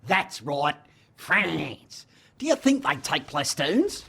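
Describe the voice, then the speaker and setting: A woman's voice: low voice, sing-song, slow pace, gravelly, noisy background, exaggerated voice. A woman playing a chatty, nosy alien tourist in an audio comedy, with a breezy manner. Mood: breezy, curious.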